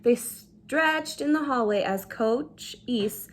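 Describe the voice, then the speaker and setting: A woman reads a children's story aloud in a fairly high voice. She takes her time, going very slowly through each phrase. Her delivery is expressive and deliberate, shaped for dramatic storytelling. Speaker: storyteller reading a children's book. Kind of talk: expressive read-aloud narration.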